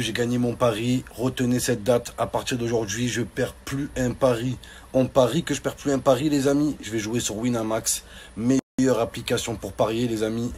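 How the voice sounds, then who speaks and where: Man, mid-pitched, casual street slang, comic storyteller, exclamatory mock indignation, noisy recording. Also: darkish tone. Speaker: online comedian. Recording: clearly noisy background.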